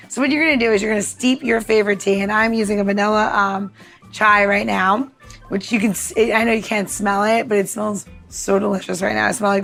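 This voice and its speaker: A woman's voice: mid-range voice, gravelly, fairly bright tone. A woman co-hosting a podcast, talking casually and informally in a rambling, chatty way, as if walking someone through a recipe. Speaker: podcast co-host giving drink recipes. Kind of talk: casual recipe walkthrough and chat.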